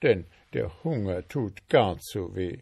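A man's voice: low-pitched, lively intonation, slow, vocal fry, warm tone, husky, no room sound, studio quality, exaggerated voice. A man voicing a clever, polite young goldsmith journeyman in a storybook reading, coaxing and bold. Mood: pleading. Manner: pleading, then demanding.

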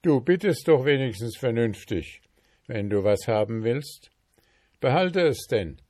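A man voicing a gruff, bearded old dwarf king in a storybook reading, stern and grudging. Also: medium pitch, lively intonation, measured pace, a little mellow, no room sound, clean studio sound.

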